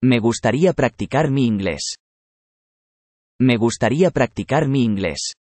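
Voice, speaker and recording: Guy reading vocab super slow, each word twice, dry close sound. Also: mid-range voice, animated, a little mellow, no room sound. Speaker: Spanish vocabulary reader.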